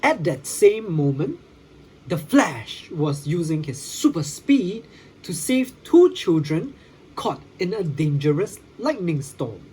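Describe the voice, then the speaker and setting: A storybook reader giving a dramatic, expressive read-aloud for children. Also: medium pitch, slow pace, slight background noise, rough recording. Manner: dramatic, with sound effects.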